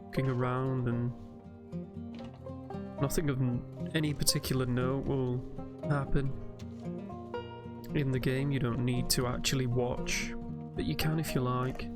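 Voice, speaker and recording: A man giving calm, gentle, measured guided sleep meditation commentary as a narrator. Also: medium pitch, music in the background.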